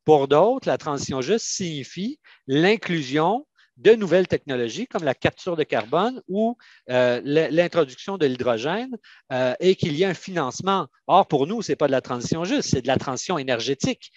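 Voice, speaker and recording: A man from Canada with a Quebec French accent, explaining methodically and haltingly as a union adviser answering questions in an online panel. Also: on the high side, expressive, dry recording, muffled recording.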